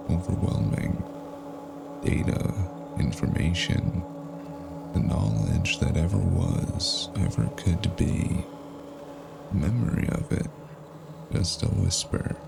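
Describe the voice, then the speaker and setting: Very deep, gravelly noir actor as weary man. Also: exaggerated voice. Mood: brooding dread. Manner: brooding, clipped dramatic phrasing. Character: grim, weary cyberpunk noir man narrating his own journey. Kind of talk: scripted first-person noir narration. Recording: loud music under the voice. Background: music.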